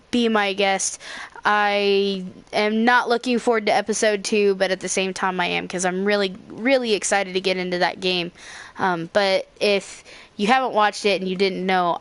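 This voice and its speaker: A woman's voice: mid-range voice, smooth. Young woman, slightly scratchy voice. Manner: rambling, with frequent fillers and self-corrections. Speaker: let's play host. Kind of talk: casual gameplay commentary.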